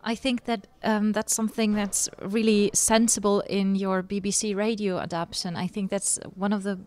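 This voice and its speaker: Hesitant woman interviewer with flat pitch. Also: normal speed. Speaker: podcast interviewer. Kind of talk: casual interview questions.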